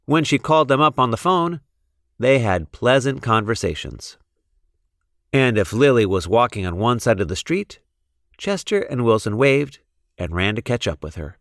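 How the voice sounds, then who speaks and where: A man reading a children's storybook in an expressive narrating style. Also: medium pitch, moderate pace, dry recording, studio quality. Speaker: storybook reader.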